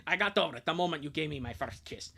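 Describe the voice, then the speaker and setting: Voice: mid-range voice, lively intonation, fast talker, gravelly, bright, forceful tone, slightly rough, heavily acted. A streamer reading the part of an earnest, sentimental, lovestruck cartoon youth confessing devotion. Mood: earnest, tender.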